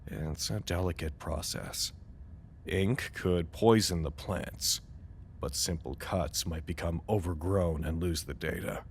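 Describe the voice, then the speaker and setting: An actor playing an anxious, weary site director in an audio drama, dictating reports in a wordy, formal, dryly exasperated manner. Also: male voice, sits low, slow, a little hiss or hum. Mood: dry, resigned. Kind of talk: audio drama acting, dictated log monologue.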